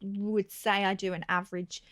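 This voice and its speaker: A woman comedian in her thirties chats openly on a podcast. Her voice sits at a middle pitch. She laughs easily and keeps restarting her sentences. The close mic sounds dry, with no room in it. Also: level tone, normal speed, a bit bright, no room sound. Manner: candid, laughing, trails off and restarts. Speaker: guest comedian. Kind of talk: casual podcast chat.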